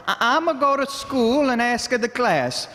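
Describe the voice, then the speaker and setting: A man's voice: extremely high pitch, big pitch swings, unhurried, bright, forceful tone, big-room sound, exaggerated voice. A man playing a timid, naive Italian immigrant in an old-time radio comedy, stammering hesitantly in broken English with an Italian accent. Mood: worried, hesitant.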